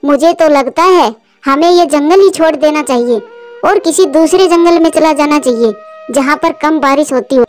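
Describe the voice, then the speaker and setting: A woman performing an excitable, chatty female bird from a children's cartoon. The voice is extremely high and dramatic, with faint music playing underneath. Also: normal speed, natural voice. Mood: dismayed. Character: excitable chatty female village birds, aunt and wives. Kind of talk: Hindi children's cartoon dialogue. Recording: music behind the voice.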